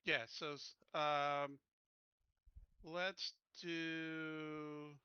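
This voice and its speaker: A man's voice: fairly high pitch, flat delivery, very slow, pushed and bright, clear, resonant tone, no echo, muffled recording. A man playing a tabletop game over online voice chat, thinking aloud in casual speech as he plans moves. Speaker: player.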